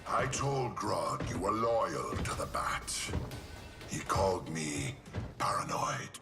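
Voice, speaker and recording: An actor playing a cold, arrogant villain in a video game cutscene, speaking with deliberate, taunting menace. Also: male voice, low-pitched, big pitch swings, exaggerated voice. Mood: smug menace. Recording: loud cinematic music behind. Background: loud music.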